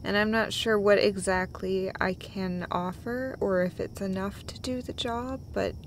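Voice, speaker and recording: A woman playing a tender, emotional, self-deprecating girlfriend in an intimate romantic audio roleplay, speaking in halting, breathy phrases. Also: medium pitch, steady tone, slight background noise, natural voice. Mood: tender, earnest. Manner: halting, breathy phrases broken by small laughs.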